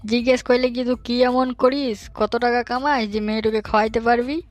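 A man playing a hapless, cheeky young bachelor in a comic cartoon, in colloquial rural western West Bengal dialect with a pitched-up voice. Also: steady tone, normal speed, dry recording, faint music behind. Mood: naive. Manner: colloquial, pleading, bantering.

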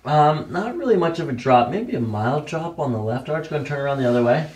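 A man's voice: mid-range voice, gravelly, a little mellow. A middle-aged man working as a chiropractor, talking through a clinical exam in a matter-of-fact way with clipped questions. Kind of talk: clinical consultation and exam commentary. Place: indoor chiropractic office. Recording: distant mic in an office.

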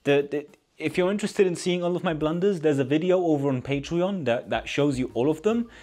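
A man's voice: fairly high pitch, animated, brisk, darkish tone. A man in his thirties giving an informal, self-deprecating DIY tutorial in a casual narrating style. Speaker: maker presenting a DIY build.

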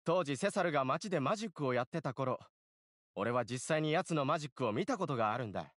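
A man's voice: medium pitch, expressive, quick pace, a bit bright, no room sound, studio quality, lightly acted. A man playing a confident, talkative young townsman in an anime game, telling his story in a casual, assertive way. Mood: admiring, confident.

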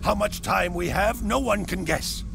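A man voicing a gruff, crotchety old bearded sage in a video game, explaining things in a creaky elderly voice. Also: sits high, measured pace, background noise, heavily acted. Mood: crotchety, urgent. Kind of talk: character acting in game cutscene.

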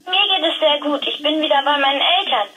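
A woman's voice: high-pitched, fast talker, bright, forceful tone, muffled recording. A young female performer playing a grateful, friendly freed girl speaking over the telephone. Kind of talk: audio drama acting as phone interview.

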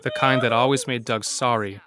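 A man's voice: mid-range voice, steady tone, normal speed. A man reading scripted narration for a horror story as its narrator. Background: faint music.

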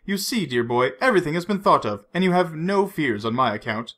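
A man's voice: a little high, big pitch swings, fairly bright tone. Man putting on a silky, mocking villain. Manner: silky, mocking, unhurried threats. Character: menacing, suave male villain torturer.

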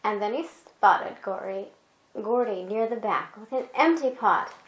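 A woman telling a children's bedtime story in an unhurried, expressive way, as if to a child beside her. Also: mid-range voice, echoey room, rough recording.

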